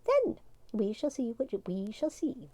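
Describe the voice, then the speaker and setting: A woman's voice: very animated, unhurried, mellow, no room sound. A woman voicing a sly little fairy leader. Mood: knowing. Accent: Irish. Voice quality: sly, high and lilting.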